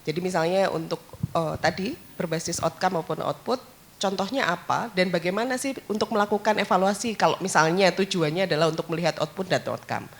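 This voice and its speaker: Lady, lowish voice, soft and hesitant, explaining her book at a talk. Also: fairly quick. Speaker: book author answering questions. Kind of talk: academic Q&A answer.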